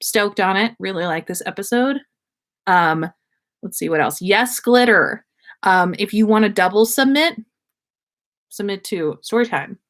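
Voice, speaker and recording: A woman's voice: medium pitch, lively intonation, unhurried, a bit bright. A woman hosting a livestream in casual chat, rambling and effusive, with slangy talk and free swearing. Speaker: podcast host.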